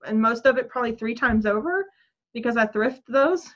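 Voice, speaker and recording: Thirties woman, co-host, rambling filler-heavy chat, bright voice, muffled webcam audio. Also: a little high, lively intonation, normal speed. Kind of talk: casual video-call chat. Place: home room. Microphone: webcam on video call. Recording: dull lo-fi call audio.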